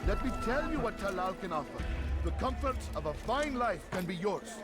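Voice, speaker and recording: A high-voiced street crier in a video game, fervently declaiming to the poor. His delivery swings widely and persuasively, with loud music behind him. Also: very animated, moderate pace.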